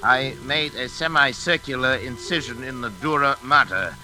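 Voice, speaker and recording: A very bright, forceful voice over music on an old radio recording: a middle-aged scientist racked with guilt. He speaks intensely and haltingly, stammering as obsession drives him through a vintage radio play. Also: mid-range voice. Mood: haunted, driven. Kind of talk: 1940s radio drama acting.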